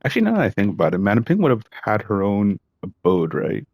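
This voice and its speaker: A man's voice: fairly low pitch, huge pitch swings, fast talker, a little mellow. A man giving casual, offhand commentary while playing a video game. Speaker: gamer. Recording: game music in the background.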